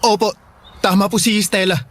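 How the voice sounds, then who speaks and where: A man playing an earnest, anxious young father in a Filipino radio drama, speaking in a halting, pleading way. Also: sits high, steady tone, a bit bright. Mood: nervous, pleading.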